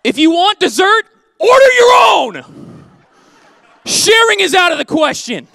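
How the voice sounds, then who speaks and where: A man in his thirties preaching a sermon on a church stage into a handheld mic, animated and joking, then dropping to soft earnest tones. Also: very high voice, measured pace, gravelly, no room sound. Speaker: pastor.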